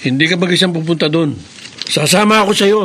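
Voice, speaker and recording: Cocky loyal young guy, high-pitched voice, slangy, expressive radio drama acting, faint noise. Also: fast. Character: cocky, loyal young male gun-owning buddy.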